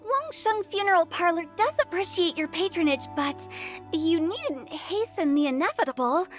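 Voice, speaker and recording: A woman's voice: very high voice, expressive, normal speed, faint music behind. A woman playing a playful, cheeky young funeral director in a video game, speaking with teasing mock formality.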